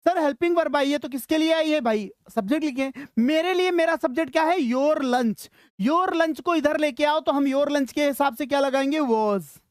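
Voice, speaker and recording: A high-pitched teacher leads a live lesson for school students. The delivery is instructional, posing questions, answering them and repeating phrases for emphasis. The sound is dry, with no room echo. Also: moderate pace.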